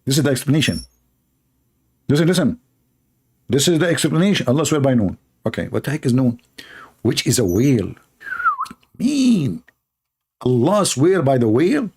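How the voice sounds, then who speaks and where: A mid-pitched man whose first language is Arabic speaks in English. He delivers a scornful running commentary with a lot of sarcasm. His pitch swings up and down for theatrical effect. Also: sing-song, normal speed, long pauses, a little mellow, slight background noise. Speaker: religious debate streamer. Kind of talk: mocking live commentary.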